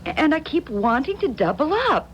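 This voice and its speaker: A woman's voice: sits high, sing-song, normal speed. An actress playing a fretful, polite married woman in a 1970s radio drama, hesitant and apologetic. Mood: unwell, apologetic. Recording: dull, noisy 1970s radio broadcast.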